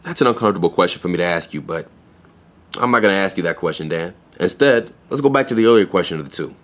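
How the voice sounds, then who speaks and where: A young store clerk in an audio drama, with a low voice. He is skeptical and blunt, delivering everything in a dry, deadpan, sardonic way. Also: fast talker. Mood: probing, blunt. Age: young adult. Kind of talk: naturalistic audio play acting.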